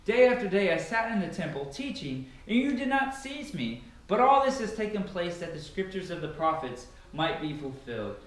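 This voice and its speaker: A man performs a grave, sorrowful male teacher with commanding authority in a dramatic scripture reading. His emphatic words sit slightly high in pitch and ring out in a very echoey room. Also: very animated, moderate pace, hardly any pauses. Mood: stern. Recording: heavily echoing room.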